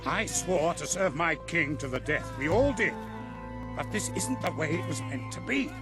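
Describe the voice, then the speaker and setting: A British actor playing an honorable, earnest army officer in a video game, speaking in a stirring, formal way. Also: male voice, fairly high pitch, animated, moderate pace, over music, close to an everyday voice. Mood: earnest resolve. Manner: stirring, formal, stiff-upper-lip. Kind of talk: video game cutscene acting.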